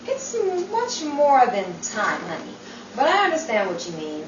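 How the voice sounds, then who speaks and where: A woman's voice: medium pitch, huge pitch swings, unhurried, far from the mic, highs cut off. A woman acting a genial, kindly character in a 1940s-style radio play, recorded in a noisy, echoey room. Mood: wry, caring.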